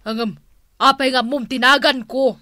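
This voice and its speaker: A woman's voice: sits high, fairly even intonation, normal speed, pushed and bright, no room sound, clean studio sound. A woman playing a bossy older household matriarch in a comedy radio drama, speaking with exclamatory authority. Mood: surprised.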